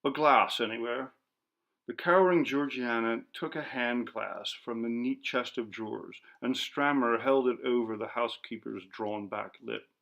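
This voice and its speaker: Male narrator, medium pitch, gravelly creak, measured, dramatic, scripted ghost story reading. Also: fairly bright tone, lo-fi sound. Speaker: audiobook narrator.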